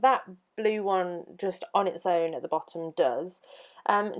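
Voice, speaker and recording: A woman's voice: on the low side, darkish tone. A young adult woman with an English accent, chatting casually through a papercraft tutorial voiceover with plenty of ums. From England. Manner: chatty, lots of ums, laughs easily. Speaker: papercraft YouTuber.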